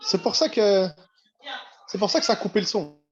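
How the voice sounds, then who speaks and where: A woman chimes in casually on an online call. Her voice comes through dull and lo-fi, with other voices clearly audible behind her. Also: mid-range voice, animated, normal speed, crowd noise.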